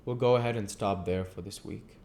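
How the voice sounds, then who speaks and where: A man's voice: fairly low pitch, fairly quick, darkish tone, slight background noise. A man reading a classic novel aloud as narrator and host of his own channel.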